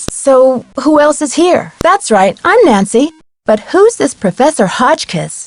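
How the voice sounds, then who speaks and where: A woman playing a curious, plucky young amateur detective in an adventure game, chirpy and clearly spoken. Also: fairly high pitch, no room sound, natural voice. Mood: curious, friendly. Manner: inquisitive, chirpy, clearly articulated. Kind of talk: scripted adventure game dialogue.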